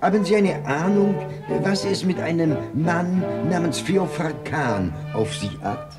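An actor playing an excitable, self-assured French newspaper correspondent in a German radio play, sprinkling his talk with French exclamations. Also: fairly high pitch, measured pace, over loud music. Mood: curious.

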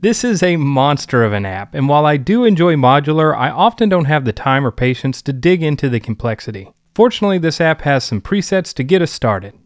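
A man's voice: fairly high pitch, sing-song, fairly quick, few pauses, no room sound. A man narrating a casual review as the host, in an informal, conversational way. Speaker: host of a synth app review show.

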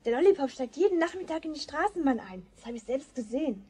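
Pert little sister, high voice, teasing tattler for a children's audio drama. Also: female voice, lively intonation, quick pace, mellow. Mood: tattling. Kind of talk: German radio play for children, 1970s.

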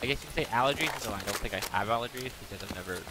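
A man's voice: medium pitch, lively intonation, rapid-fire, very noisy. A man with a stuffy nose giving casual, rambling commentary while playing a game, swearing at it now and then. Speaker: gaming channel host.

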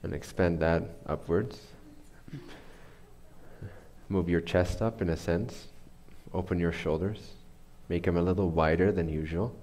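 Deep-voiced man guiding a meditation. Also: steady tone, a little mellow, a little hiss or hum. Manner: unhurried, searching for words. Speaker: meditation teacher. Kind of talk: guided meditation instruction.

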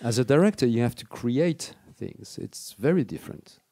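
A middle-aged man, a film editor, speaking with searching, halting phrasing at a festival panel. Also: mid-range voice, big pitch swings, vocal fry, mellow, breathy edge, dry recording. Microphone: table microphone.

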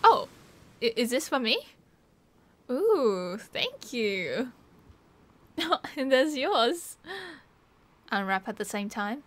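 Woman acting a high-voiced playful young Australian. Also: very animated, takes it slowly, pauses often, fairly bright tone, exaggerated voice. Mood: cheerful, excited. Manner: playful, slangy, giggly. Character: cheerful, teasing young woman at a beach party. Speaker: roleplay voice actor. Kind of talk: casual ASMR roleplay, one side of a chat.

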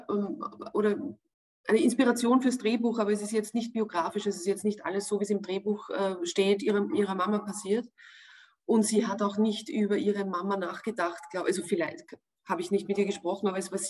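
Austrian actress, 40s, relaxed interview answers over a webcam, hesitant fillers. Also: female voice, medium pitch, moderate pace. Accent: Austrian German. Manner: thoughtful, searching for words with many fillers. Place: home room, indoors. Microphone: video call webcam.

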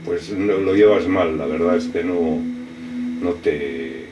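Slow, deep-voiced middle-aged man, halting. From raised in France. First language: French. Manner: halting, many restarts and fillers. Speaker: artist. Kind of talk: interview answers. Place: cluttered studio with computer and speakers. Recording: distant mic, audible room noise.

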